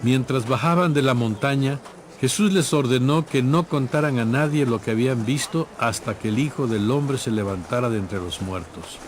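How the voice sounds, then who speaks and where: A man narrating a scripted scripture story in a measured storytelling manner. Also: medium pitch, faint music behind.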